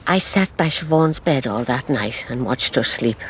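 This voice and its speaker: An actress playing an elderly Irish grandmother in a radio drama, recounting her past in a measured, sorrowful, wistful way. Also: rumbling low, animated, slight background noise. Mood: haunted regret. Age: older.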